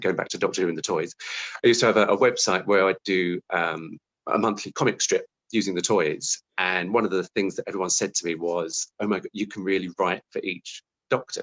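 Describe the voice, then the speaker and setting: Male interview guest, British, on the lower side in pitch, conversational and modest about himself. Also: steady tone, a little fast, a bit bright, rough recording.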